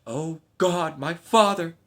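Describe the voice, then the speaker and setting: Erilda, a man doing a shrill frantic maiden. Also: lively intonation, moderate pace, a bit bright. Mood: anguished. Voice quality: shrill strained falsetto. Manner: pleading, breathless cries. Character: frantic young noblewoman, terrified and distraught. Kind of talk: dramatic gothic reading.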